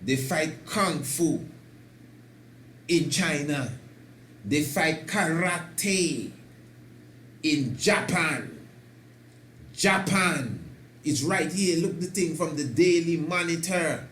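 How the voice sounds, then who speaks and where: A man speaks slowly and deliberately, leaning hard on each point and climbing to excited peaks. His voice rings in a roomy, echoey space with a faint hiss of noise behind it. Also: a little high. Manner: slow, emphatic, rises to excited declarations. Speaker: host. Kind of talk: preaching talk.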